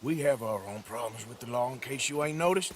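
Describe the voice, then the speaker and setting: An actor playing a gruff, weary, sardonic Old West outlaw in a video game, with an American accent and laconic, folksy talk. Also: male voice, mid-range voice, fairly quick, a little hiss or hum, close to an everyday voice. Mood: exasperated.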